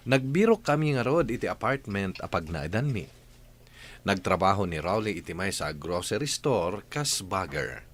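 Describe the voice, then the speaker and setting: A man with a Filipino accent hosting and narrating a radio drama, reading a letter in a measured, theatrical way with drawn-out phrases. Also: low voice, sing-song.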